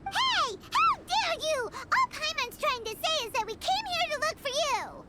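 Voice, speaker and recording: A woman voicing a tiny, excitable fairy companion in a game, who talks about herself in the third person. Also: very high voice, a little slow, full character voice. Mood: indignant. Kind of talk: game cutscene dialogue. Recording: noisy game audio.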